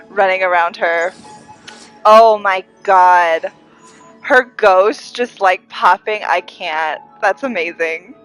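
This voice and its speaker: A woman's voice: medium pitch, fairly bright tone, low-quality recording. A young woman with a congested voice chatting casually and gushing as she streams a game. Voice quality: congested, audibly breathy intakes. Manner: giggly, gushing fan. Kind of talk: casual live gameplay chat. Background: faint game music.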